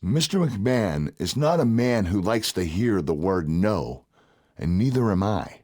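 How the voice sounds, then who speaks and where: An actor playing a smug, threatening wrestling authority figure in a game cutscene, formal and condescending. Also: male voice, fairly low pitch, big pitch swings, measured pace, darkish tone. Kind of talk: video game cutscene acting.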